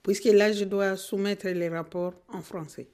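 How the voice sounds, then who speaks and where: A middle-aged woman from Congo, a Kinande speaker, answering in a radio interview in an earnest, measured way. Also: medium pitch, fairly even intonation, darkish tone. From Democratic Republic of the Congo. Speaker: social worker and guest.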